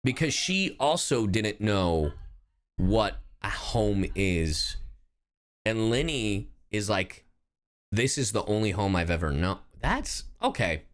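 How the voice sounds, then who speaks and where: A man's voice: fairly low pitch, very animated, slow. A man doing casual live reaction commentary as a streamer, informal and often muttering as he thinks aloud.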